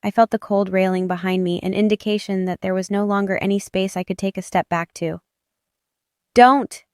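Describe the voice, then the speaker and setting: A woman narrates a romance audiobook in a dramatic first-person style. Her voice sits at a medium pitch and is smooth and crisp. The recording is close and dry, with no room sound. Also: flat delivery, fast talker, a little mellow, dry recording.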